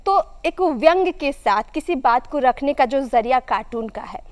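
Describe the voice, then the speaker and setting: High-voiced young woman interviewer, long reverb. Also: expressive, normal speed. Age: young adult. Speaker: TV show co-host. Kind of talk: conversational interviewing.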